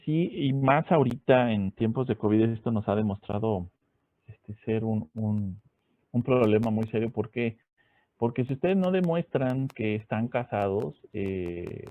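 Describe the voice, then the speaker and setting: A man's voice: mid-range voice, no room sound. Mexican guy teaching a med class, casual, keeps checking they follow, dry dull phone-quality audio. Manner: didactic, repeats key points with frequent checking tag questions. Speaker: medical school lecturer, doctor. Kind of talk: informal spoken lecture with anecdotes.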